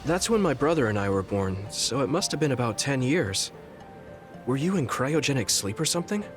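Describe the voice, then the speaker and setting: A man's voice: medium pitch, sing-song, normal speed, lightly acted. An actor voicing an earnest, kind, easygoing young man soldier in a video game, speaking in a friendly, sincere way. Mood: curious, good-natured. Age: young adult. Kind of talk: video game voice acting, story cutscene dialogue. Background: background music.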